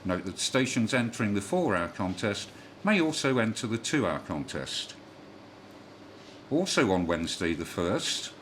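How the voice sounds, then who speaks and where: A man's voice: fairly low pitch, fairly bright tone. A man reading a scripted amateur radio news bulletin in a careful, formal way, spelling things out phonetically. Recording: noisy shortwave single-sideband radio broadcast.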